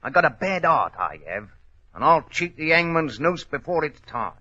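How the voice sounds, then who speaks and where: An actor playing a rough, boozy ex-convict valet, slangy and swaggering, in a 1940s radio drama. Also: male voice, fairly high pitch, sing-song, normal speed, sharp tone, heavily acted. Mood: bitter, agitated. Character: rough, boozy working-class ex-convict valet, blustering villain. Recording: dull old radio recording.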